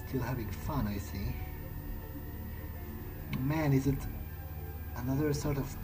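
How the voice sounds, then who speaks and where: Man musing over clear game music. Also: medium pitch, slow. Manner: musing aloud, casual, wry. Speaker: let's play host. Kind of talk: in-character gameplay commentary, casual muttering.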